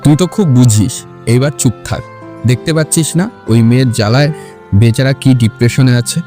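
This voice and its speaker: A male actor plays a young man's blunt friend in an audio drama. His voice is mellow and medium in pitch, prodding as he talks over faint music. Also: normal speed, lightly acted. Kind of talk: Bengali audio story acting.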